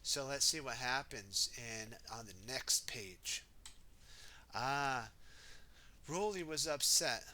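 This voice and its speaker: A man reading a children's picture book aloud in an animated way, adding his own asides and exclamations for young listeners. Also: mid-range voice, takes it slowly, pauses often.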